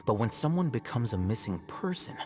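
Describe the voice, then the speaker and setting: A man playing an aloof, self-assured young scholar in a video game, measured, articulate and slightly smug. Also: mid-range voice, sing-song, darkish tone, big-room sound, soft background music, lightly acted. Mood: explaining.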